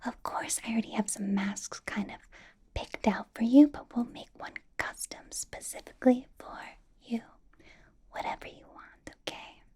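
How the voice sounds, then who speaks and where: A woman voicing a possessive, doting, commanding ancient vampire boss in an ASMR roleplay, in a breathy whisper with coaxing hums. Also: a little high, steady tone, moderate pace, a little mellow.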